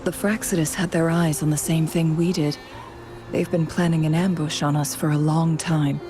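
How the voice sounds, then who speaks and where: An actress as a low-voiced, composed noblewoman strategist. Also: flat delivery, moderate pace, music in the background, close to an everyday voice. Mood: calm, gracious. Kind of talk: video game voice acting.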